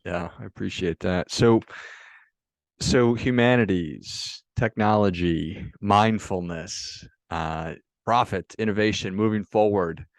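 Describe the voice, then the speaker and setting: A male podcast host with a very low voice asks reflective questions and sums up his guest's ideas. His voice is recorded close and dry, with no room sound. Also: slow, dry recording. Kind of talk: podcast interview.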